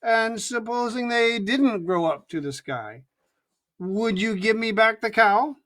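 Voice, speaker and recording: A storyteller voicing a young country boy who speaks eagerly and excitedly. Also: mid-range voice, takes it slowly. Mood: doubtful. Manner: eager, excited, at times hesitant.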